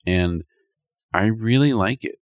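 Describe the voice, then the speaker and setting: Male podcast co-host, deep voice, dry recording, conversational and informal gamer chat. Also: slow pace, dry recording. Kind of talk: casual gaming chat.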